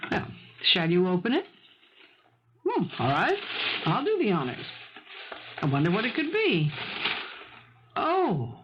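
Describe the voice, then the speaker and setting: An actress playing a composed, middle-aged housekeeper in a 1970s radio mystery, speaking formally and precisely with cool, reproving logic. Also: female voice, medium pitch, huge pitch swings, measured pace, pauses often. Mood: curious. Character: composed, firm, sensible housekeeper to a rich man. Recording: dull, noisy old radio broadcast tape.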